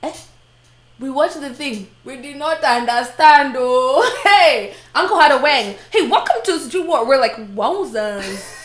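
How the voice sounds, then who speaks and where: A woman's voice: sits high, measured pace, echoey room. A Kenyan woman co-hosting a live stream, chatting casually in English and Swahili with lots of laughter and playful quoting. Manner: animated, laughing, switches into playful voices for quotes.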